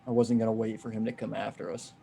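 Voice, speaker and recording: A man's voice: lowish voice, level tone, fast talker, gravelly, mellow, a little hiss or hum. A man playing an earnest, worried survivor leader. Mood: grim, subdued. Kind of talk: dramatic table read of a horror comic. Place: remote video call read. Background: music under the voice.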